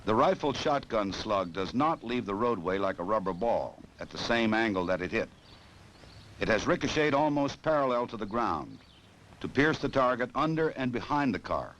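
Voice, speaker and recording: An American man narrating a 1960s instructional film in a formal, measured, authoritative way, on an old film soundtrack. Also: fairly low pitch, big pitch swings, a bit bright, slight background noise.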